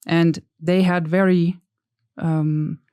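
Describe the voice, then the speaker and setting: A woman's voice: on the low side, little pitch movement, slow, mellow, clean tone, no room sound. Woman on a dry close mic. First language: German. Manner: thoughtful, hesitant, self-deprecating. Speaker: podcast guest. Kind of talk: casual interview chat.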